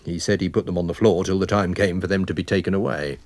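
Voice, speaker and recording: A man's voice: low-pitched, flat delivery, quick pace, darkish tone, dry recording, natural voice. A man playing a methodical police inspector who sets out facts in a matter-of-fact, explanatory way in a radio mystery. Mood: brisk.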